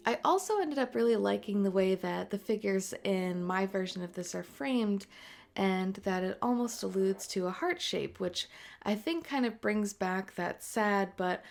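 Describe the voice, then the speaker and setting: A woman in her late twenties, an artist and tattooer, chatting in a voice-over over a speedpaint, raspy and congested from a cold, talking softly with many ums. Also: medium pitch, animated, measured pace. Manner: soft, rambling, many ums and uhs. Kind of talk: voice-over chat during a speedpaint. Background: faint music.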